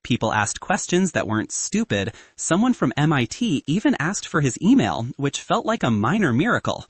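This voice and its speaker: Guy narrating a love story audiobook, husky voice, really lively pitch, dead dry sound. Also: normal speed, dry recording. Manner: expressive storytelling.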